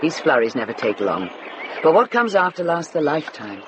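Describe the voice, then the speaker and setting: An actress playing a commanding older nun in a radio drama, brisk and dryly wry, kindly underneath. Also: female voice, deep voice, very animated, highs cut off. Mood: calm, firm. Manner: brisk, dry, authoritative. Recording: noisy ambience behind dialogue.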